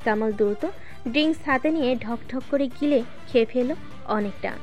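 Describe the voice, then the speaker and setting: A woman narrates a scripted audio story. Her voice sits fairly high, and she tells it steadily and dramatically over faint background music. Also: moderate pace, a little mellow.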